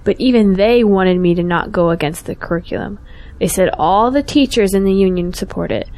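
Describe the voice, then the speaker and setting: A woman's voice: medium pitch, a little fast, darkish tone, close to an everyday voice. A young woman playing a principled, outspoken schoolteacher in an audio drama, earnest and pointed. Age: young adult.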